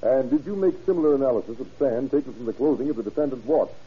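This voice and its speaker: A methodical district attorney in a vintage radio play. His questions come quickly and formally in a husky mid-pitched voice, through dated lo-fi sound. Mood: formal, probing. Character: formal, methodical male prosecutor questioning witnesses. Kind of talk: old-time radio courtroom drama. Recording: dull vintage radio recording.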